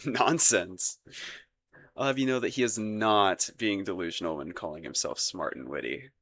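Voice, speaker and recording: A man's voice: fairly low pitch, unhurried, a bit bright. A man acting a shy, lovestruck young crown prince in a romantic roleplay audio, hesitant and stammering, with formal courtly phrasing. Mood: coyly defensive.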